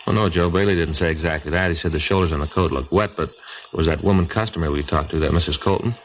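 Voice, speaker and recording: Male actor as easygoing detective sidekick, American, low voice, brisk, laconic, vintage radio recording with light hiss. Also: close to an everyday voice, rough recording. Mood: practical. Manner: casual, laconic. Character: easygoing, dry male police detective partner. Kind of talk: 1950s radio drama acting, conversational.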